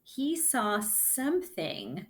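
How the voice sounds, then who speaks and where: A woman teaching kindergarten children in a read-aloud lesson, explaining things simply and deliberately in short phrases. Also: medium pitch, huge pitch swings.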